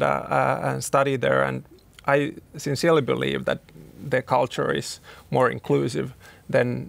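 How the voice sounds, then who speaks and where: Gravelly, flat-voiced man. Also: medium pitch. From Finland. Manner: hesitant, many restarts and fillers. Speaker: company CEO, panel guest. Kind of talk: panel discussion answers.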